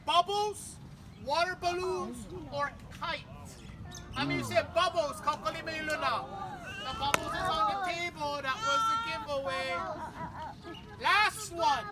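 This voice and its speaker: High lady running a beach memorial, teasing the crowd, then praying, cars clearly going by. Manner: playful quizzing, then prayerful. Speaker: memorial service leader. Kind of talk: family memorial address with audience games. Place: outdoors at a beach. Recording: outdoor ambience with clear traffic noise.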